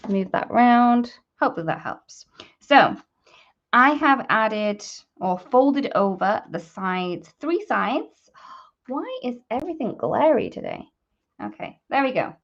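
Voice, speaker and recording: Woman, mid-pitched voice, casual tutorial host, chatty, thinking aloud while working, dull lo-fi stream sound. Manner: chatty, informal, thinking aloud as she works. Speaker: host of a live papercrafting demonstration. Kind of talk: casual live craft tutorial, chatting to viewers.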